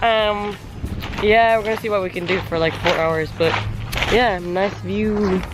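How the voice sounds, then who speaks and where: A hoarse young woman chatting casually in a vlog, rambling with lots of fillers, filmed outdoors on a handheld camera. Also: mid-range voice, fairly even intonation, unhurried, background noise. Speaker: vlogger. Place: outdoors on a sunny day. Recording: background music playing under voice.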